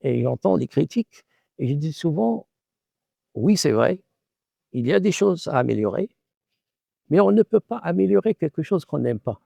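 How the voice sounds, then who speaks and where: A man's voice: on the high side, sing-song, normal speed, vocal fry, long pauses, warm tone, no echo. A middle-aged man from Morocco whose first language is Arabic, an author and professor answering interview questions in a thoughtful way, often repeating words while he searches for the phrasing.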